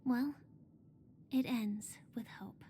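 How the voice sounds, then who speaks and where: Enigmatic, slow-spoken high-voiced woman. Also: slightly rough. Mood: calm, wistful. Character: composed, enigmatic female pawn shop visitor, coolly patient. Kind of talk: video game voice acting. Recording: quiet game audio under music.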